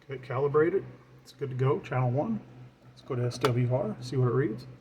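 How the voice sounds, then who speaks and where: A man's voice: mid-range voice, expressive, brisk, warm tone, poor audio. A man giving an informal hands-on tutorial as a hobbyist, thinking aloud while he works. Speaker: radio hobbyist demonstrating his equipment. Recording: clear background noise.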